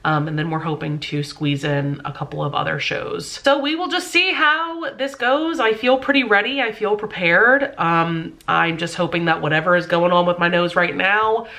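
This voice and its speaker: Bright-voiced congested young woman. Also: fairly low pitch, moderate pace, few pauses. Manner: chatty, many fillers like "um" and "like". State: congested, stuffy nose. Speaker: vlogger. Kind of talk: casual chat to camera.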